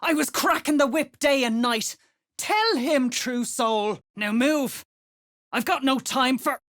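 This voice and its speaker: A woman playing a gruff, foul-mouthed female duergar overseer in a video game, curt and contemptuous. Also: high voice, animated, slow, a bit bright, exaggerated voice. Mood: furious, barking. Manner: curt, cursing, contemptuous slang. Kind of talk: video game character dialogue.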